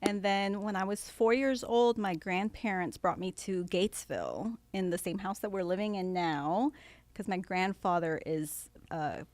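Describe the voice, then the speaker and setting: A woman's voice: medium pitch, animated, unhurried, a little mellow, no room sound. A young woman from Venezuela who grew up in San Francisco, a law student chatting casually in an interview, laughing easily and using fillers. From Venezuela, raised in San Francisco.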